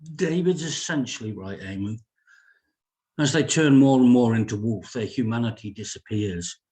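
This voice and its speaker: A gentle, thoughtful father acted by a man with a medium-pitched voice. He speaks as a soothing, reassuring doctor comforting a small child in a horror drama. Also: moderate pace, natural voice. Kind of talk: dramatic script table read.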